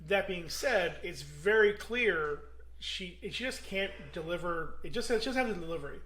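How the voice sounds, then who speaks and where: A man's voice: high voice, expressive, moderate pace, pushed and bright, a little hiss or hum. A man co-hosting a casual podcast, critiquing a movie with blunt opinions and frequent stumbling restarts. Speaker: podcast co-host. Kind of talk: casual movie review chat.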